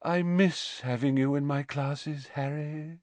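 A man voicing a haughty older female divination teacher in a storybook, with theatrical, drawn-out words and sniffy, mystical self-importance. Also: high voice, very animated, dry recording, voice pushed up, exaggerated voice. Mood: soulful.

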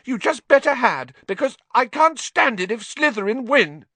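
A man voicing a fierce, bookish schoolgirl in an audiobook, speaking high and shrill. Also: big pitch swings, normal speed, pushed and bright, dry recording, exaggerated voice. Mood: shrill, upset.

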